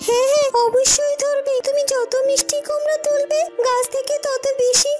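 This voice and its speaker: Woman voicing a very high-pitched magical talking pumpkin. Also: level tone, normal speed, music in the background. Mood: earnest, reassuring. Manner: earnest, admonishing. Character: magical talking pumpkin, kindly, solemn adviser. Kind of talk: cartoon fairy-tale voice acting.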